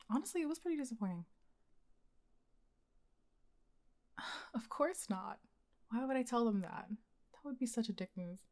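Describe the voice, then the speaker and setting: A young woman acting as a blunt, secretly smitten best friend in an intimate, conversational ASMR roleplay, with a casual, expressive delivery. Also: mid-range voice, close to an everyday voice. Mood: disappointed. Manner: casual, expressive, sighing, sweary.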